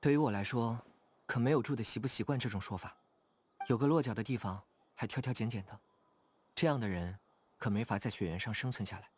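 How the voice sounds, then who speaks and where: A man's voice: medium pitch, fast, slight background noise, lightly acted. A man voicing a hardy, easygoing young idealist in a video game, with a casual, frank way of talking. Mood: relaxed, appreciative. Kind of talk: video game character dialogue.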